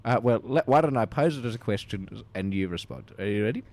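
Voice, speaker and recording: A man's voice: mid-range voice, lively intonation, a little fast, vocal fry, darkish tone, no echo. A man co-hosting a comedy podcast, asking questions in a playful, mock-formal way. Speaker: podcast co-host.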